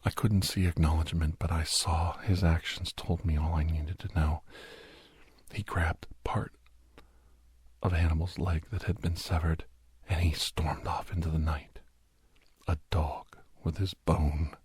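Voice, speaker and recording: A man tells a horror story in breathy ASMR whispers, solemn, dramatic and deliberate, close to the microphone. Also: extremely low pitch, level tone, darkish tone, no room sound. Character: male doctor solemnly recounting a werewolf horror tale.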